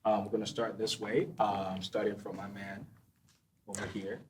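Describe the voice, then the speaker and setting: A man's voice: on the low side, little pitch movement, normal speed, noisy background. A man hosting a casual podcast panel, talking loosely with lots of fillers and trailing asides. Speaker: podcast host.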